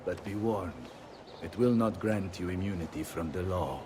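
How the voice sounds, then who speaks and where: An actor playing a stately, gracious ruler with a formal, measured manner in a game cutscene. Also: male voice, low voice, expressive, background noise. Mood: gracious, solemn. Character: stately, gracious male Florentine ruler and patron. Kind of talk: dramatic game cutscene acting.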